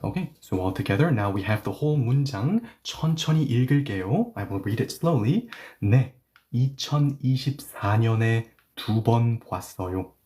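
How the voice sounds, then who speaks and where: A man giving an online language lesson with a mid-range voice, neither high nor low. He explains patiently, leans on "okay, so" and "yeah", and moves between explanations and slow, careful example readings. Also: expressive, mellow. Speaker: Korean language teacher.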